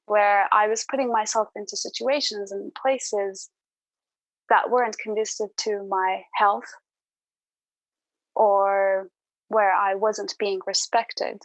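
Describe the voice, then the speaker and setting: Woman guest on a podcast talking through her own journey, earnest, medium pitch, really dry sound. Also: steady tone, slow pace, pauses often, no echo, low-quality recording. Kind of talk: reflective personal storytelling in an interview.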